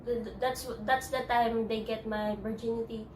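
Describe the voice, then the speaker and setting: Filipino woman interviewee, hesitant English, slightly high pitch; roomy echo, faint background noise. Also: steady tone, a little mellow. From Philippines. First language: Tagalog. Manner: halting, hesitant, many restarts. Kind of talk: personal interview. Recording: echoey room, distant mic.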